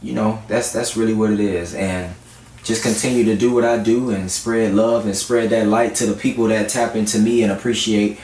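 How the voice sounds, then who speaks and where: A man's voice: lowish voice, steady tone, normal speed, a little mellow. A man from South Florida chatting casually as an R&B singer being interviewed. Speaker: guest R&B singer-songwriter. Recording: roomy echo, distant mic, faint noise.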